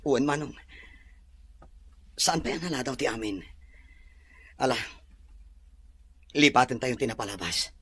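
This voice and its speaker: A man acts a forgiving younger brother in an audio drama, earnest and tender. The voice is bright and a little husky, with very lively intonation. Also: mid-range voice, unhurried, pauses often, dry recording. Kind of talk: Ilocano audio drama acting.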